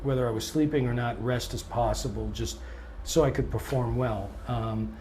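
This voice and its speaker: A touring blues singer and guitarist with a scratchy voice, answering interview questions in a relaxed, thoughtful way and often pausing on "um". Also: male voice, mid-range voice. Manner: relaxed and thoughtful, many ums, restarts now and then.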